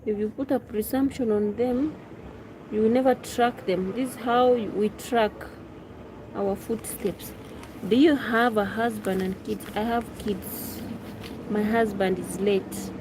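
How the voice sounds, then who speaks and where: A woman's voice: mid-range voice, fairly even intonation, slow pace. A woman with a Kenyan accent chats casually as a live streamer, musing unhurriedly, repeating phrases and answering viewers. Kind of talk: casual chat, reading and answering viewers' questions. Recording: clearly noisy background.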